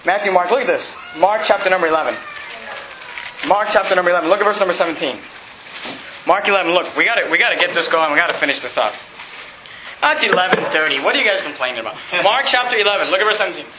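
High-voiced shouting preacher man. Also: lively intonation, fast talker, background noise. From Venezuela. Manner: emphatic preaching, often shouting, repeats verse references. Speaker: pastor. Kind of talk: fiery evangelical sermon. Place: church sanctuary with congregation. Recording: echoey church with congregation responses.

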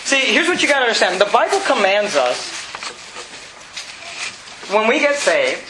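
High-voiced shouting preacher man. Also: very animated, moderate pace, noisy background. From Venezuela. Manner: emphatic preaching, often shouting, repeats verse references. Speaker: pastor. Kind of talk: fiery evangelical sermon. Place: church sanctuary with congregation. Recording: echoey church with congregation responses.

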